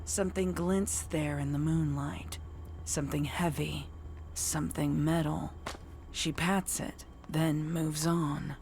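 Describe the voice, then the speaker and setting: Low-pitched woman narrating dark horror, unhurried. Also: fairly even intonation, vocal fry, slight background noise. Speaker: fan fiction narrator.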